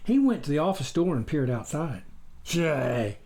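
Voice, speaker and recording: A man reading a story as scripted narration, in a deliberate, expressive audiobook style. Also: on the high side. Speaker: author reading own memoir.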